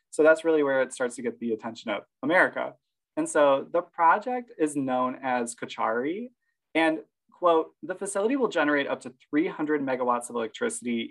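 A man's voice: mid-range voice, gravelly, a bit bright, rough recording. A man co-hosting a casual podcast, laughing often and reading quotes from his sources. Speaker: podcast co-host.

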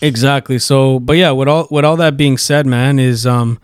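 Male podcast host, mid-pitched, rambling and profane, close dry mic. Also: fairly even intonation, normal speed, clear, resonant tone, no echo. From Canada. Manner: rambling, profane, many fillers. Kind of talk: casual chat.

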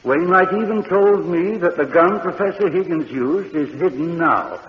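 An actor playing a kindly old morgue keeper who speaks with the dead, in a soft, earnest, patient manner, in 1940s radio drama style. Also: male voice, a little high, lively intonation, normal speed, close to an everyday voice. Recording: dull old radio recording in a reverberant studio, faint hiss.

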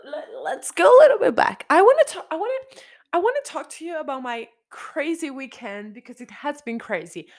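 A young woman with a slightly raspy, hoarse voice hosting her podcast in casual chat, repeating herself and restarting her sentences. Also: on the high side. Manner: chatty, repeats herself, frequent restarts and fillers. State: hoarse after a concert. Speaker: podcast host. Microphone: studio microphone.

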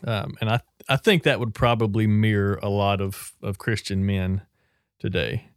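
A man hosting a podcast, leading a reflective discussion in a plain-spoken, measured way. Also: on the low side, fairly even intonation, clear, resonant tone, no echo, studio quality. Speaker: podcast host.

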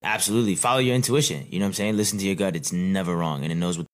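Low-voiced, quick-talking laid-back man. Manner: laid-back, with fillers and "you know what I'm saying". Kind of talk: casual conversation.

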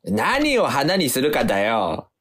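A woman's voice: rumbling low, huge pitch swings, takes it slowly, a little hiss or hum, exaggerated voice. A woman playing a playful, bossily urging poetic storyteller in a whimsical radio drama, with a theatrical delivery. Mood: playful, urgent.

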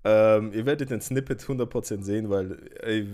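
A man's voice: fairly low pitch, moderate pace, darkish tone. A man hosting a podcast in loose, slangy casual chat, laughing often as he talks. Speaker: podcast host.

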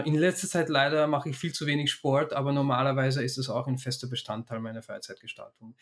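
A middle-aged man from Hungary speaks in a reflective interview. He lays out his ideas carefully in a mid-range voice, with small restarts and fillers along the way. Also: medium pitch. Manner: thoughtful, explanatory, frequent restarts and fillers. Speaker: interview guest, consultant and startup founder.

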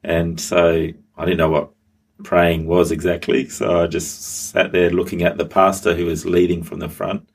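A man's voice: low-pitched, level tone, normal speed, creaky, slightly rough. A middle-aged man, a pastor, telling his story as an interview guest, hesitant with many ums and restarts. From Australia. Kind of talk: interview guest, personal testimony.

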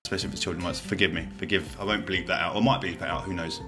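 A man's voice: low-pitched, steady tone, racing, music in the background. A British man casually hosting his own podcast, rambling, joking at himself and swearing freely. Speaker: podcast host. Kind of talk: casual solo podcast chat.

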